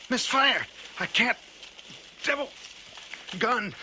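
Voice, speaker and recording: An actor playing a frightened, exhausted lion hunter in a 1980 radio drama monologue, muttering to himself in halting, repeated fragments. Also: male voice, very high-pitched, animated, pushed and bright, exaggerated voice. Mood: tense, frantic. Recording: noisy old radio broadcast recording.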